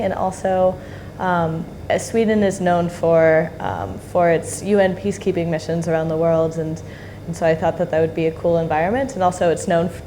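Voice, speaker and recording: A young woman in her twenties answering interview questions thoughtfully, with frequent pauses and ums. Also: on the low side, a little mellow, slight background noise. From United States. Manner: thoughtful, hesitant with many ums. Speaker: peace fellow.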